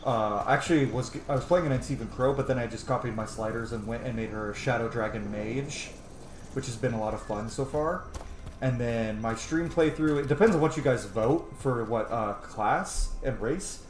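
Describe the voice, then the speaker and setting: A man's voice: medium pitch, normal speed, a little hiss or hum. Chatty man, echoey game commentary. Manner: chatty, musing, rambling. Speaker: gaming streamer, let's-player. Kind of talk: casual gameplay commentary. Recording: roomy echo, faint game music. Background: faint game music.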